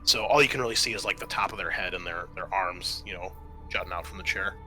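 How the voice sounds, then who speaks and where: A man running a tabletop roleplaying game as game master, describing scenes in a halting, conversational way and often restating himself. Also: low-pitched, bright, forceful tone, soft background music.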